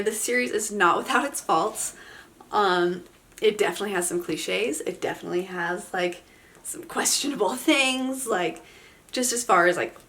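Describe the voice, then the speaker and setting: Woman, book vlogger, casual and enthusiastic, mid-pitched voice, stuffy nasal sound from a cold. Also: sharp tone. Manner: chatty, informal, enthusiastic. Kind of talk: casual chatty book review.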